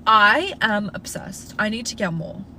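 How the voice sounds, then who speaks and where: Young Australian woman host with a Russian first language, chatty and reassuring, mid-range voice, steady car noise behind her. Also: medium pitch, unhurried. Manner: chatty, frequent "like" fillers, reassuring advice-giver. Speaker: livestream host answering viewer questions. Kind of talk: casual live Q&A. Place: inside a parked car.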